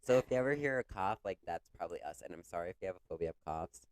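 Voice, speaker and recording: Smooth-voiced young man, congested, chatty. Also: low-pitched, expressive, racing, no echo. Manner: chatty, many "like" fillers, retells stories with quoted voices. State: congested with a cough. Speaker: podcast co-host. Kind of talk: casual chat.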